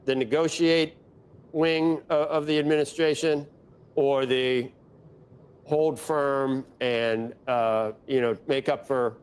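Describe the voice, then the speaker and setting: A man anchoring a TV business news interview, questioning a guest in an exasperated, animated way. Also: mid-range voice, slow pace, clean tone. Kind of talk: broadcast interview.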